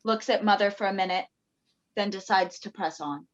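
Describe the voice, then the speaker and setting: A woman's voice: medium pitch, normal speed. A woman narrating stage directions from a script, heard over a muffled video call.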